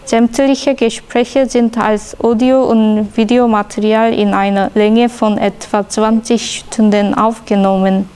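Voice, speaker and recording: Female artist, Korean accent, fairly high voice, measured scripted presentation, unhurried. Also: a little mellow, smooth. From South Korea. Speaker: artist presenting own diploma work. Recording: faint background noise.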